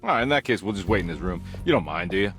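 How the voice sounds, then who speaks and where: Deep voiced detective, wry n unbothered, polite in a casual way, game cutscene w music under. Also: male voice, animated, racing, a bit bright. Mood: smooth, insistent. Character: wry, unflappable male private investigator. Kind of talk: cinematic game voice acting.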